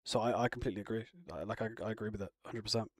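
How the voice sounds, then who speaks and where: A man's voice: lowish voice, steady tone, very fast, no echo, clean studio sound. A man chatting casually on a live stream, rambling and answering viewer comments. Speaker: live streamer reacting to chat. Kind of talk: casual chat.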